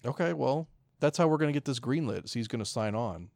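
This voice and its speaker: A man's voice: medium pitch, animated, quick pace, creaky, darkish tone. A man co-hosting a podcast in casual, sweary conversation. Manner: profane. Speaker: podcast co-host. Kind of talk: casual chat.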